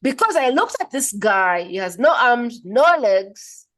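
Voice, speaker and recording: A woman's voice: a little high, sing-song, measured pace, fairly bright tone. Woman podcast host from Uganda, emphatic storyteller, interview chat.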